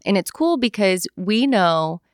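A young adult woman chatting casually, telling animated travel stories and acting out what people said. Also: medium pitch, unhurried, no echo, clean studio sound. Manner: animated storyteller, acts out quoted voices. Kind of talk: casual chat.

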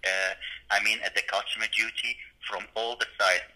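Guy from syria, syrian accent, slow halting english, super bright voice on the phone. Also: fairly low pitch, level tone, rough recording. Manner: halting, searching for words. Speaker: student guest. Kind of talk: casual interview. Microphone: phone call.

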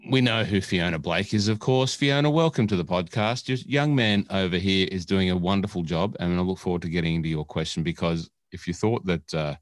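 A man's voice: deep voice, fairly quick, few pauses, no room sound. An Australian man co-hosting a casual podcast, chatting in a rambling, jokey way that often digresses. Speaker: podcast co-host. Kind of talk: casual chat.

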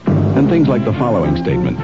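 A man reading a 1970s radio beer commercial with a smooth, assured pitchman delivery. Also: very animated, brisk. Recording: dull lo-fi old radio broadcast with music.

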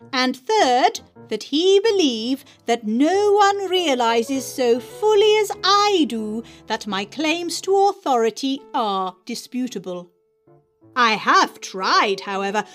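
A woman's voice: fairly high pitch, fairly bright tone. A woman podcast host who reads and speaks in an articulate, theatrical, emphatic manner. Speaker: podcast host reading aloud. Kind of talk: dramatic reading of an essay, then informal podcast chat.

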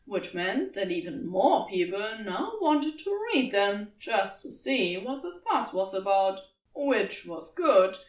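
Woman, story narrator, expressive, higher-pitched, measured pace, slightly distant microphone. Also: reverberant. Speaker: podfic narrator.

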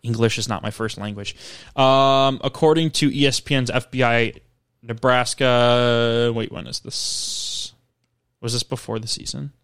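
A young man co-hosting a casual sports talk show, relaxed and good-humored while defending his side. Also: medium pitch, unhurried, clean tone. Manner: easygoing, defends his side, laughs often. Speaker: sports podcast co-host.

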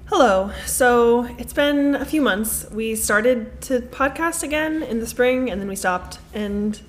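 A woman's voice: fairly high pitch, measured pace, slight background noise. A woman co-hosting a casual podcast, bubbly and teasing, with lots of "like" and "um.". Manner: bubbly, teasing, filler-heavy. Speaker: podcast co-host. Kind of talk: casual chat.

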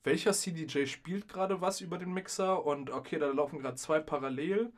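A man's voice: fairly high pitch, a little fast. Young man telling stories in slangy, casual chat. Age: young adult. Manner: slangy, acts out quoted remarks. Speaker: podcast host and DJ. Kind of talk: casual chat, animated storytelling.

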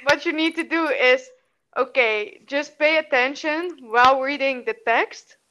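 A woman's voice: sits high, a little slow, sharp tone, lo-fi sound. Dutch-accented woman, hesitant speech. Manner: hesitant, many restarts and fillers. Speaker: interviewee. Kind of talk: casual conversational interview.

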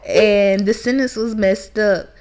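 A woman's voice: medium pitch, steady tone, slow, darkish tone. A young woman co-hosting a podcast in casual chat, answering in short, reassuring turns and laughing along. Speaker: podcast co-host.

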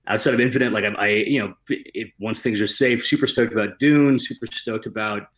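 A man's voice: lowish voice, fairly quick, fairly bright tone. A man talking casually as a guest screenwriter, slangy and given to self-deprecating humour. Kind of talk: casual chat.

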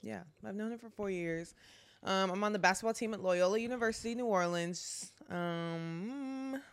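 A woman's voice: mid-range voice, moderate pace, smooth. A woman of twenty-one from Texas, a college basketball player chatting as a podcast guest, hesitant and laid-back, often trailing off. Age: 20s. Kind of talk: casual chat.

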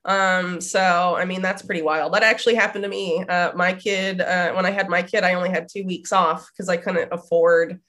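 A woman's voice: on the low side, fairly even intonation, moderate pace, hardly any pauses, a bit bright. A woman in her thirties, slightly nasal from a cold, talking as a guest in a rambling way with lots of fillers. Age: 30s. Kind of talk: casual conversation.

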